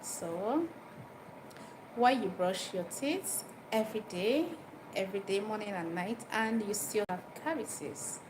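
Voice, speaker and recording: Nigerian woman livestreaming over clear background noise. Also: medium pitch, animated, measured pace. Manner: repeats phrases and puts questions directly to listeners. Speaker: livestream host giving dental health advice. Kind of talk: informal livestream talk, chatting with viewers. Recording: noisy live stream with background ambience.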